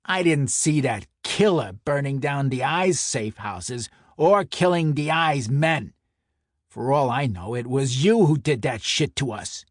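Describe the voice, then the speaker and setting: A man's voice: mid-range voice, big pitch swings, unhurried, slightly rough, dry recording, exaggerated voice. A man performs a mob enforcer with a thick Brooklyn accent, in the manner of audio crime fiction. He is brash and mocking, throwing his words around with theatrical bluster. The sound is dry, with almost no room on it. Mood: angry. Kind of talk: dramatic audio fiction acting.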